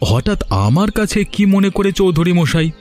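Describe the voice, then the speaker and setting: A man's voice: sits high, lively intonation, a little fast, a little mellow. A man voicing an earnest, plaintive village husband in a moral-story cartoon. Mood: protesting. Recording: music behind the voice.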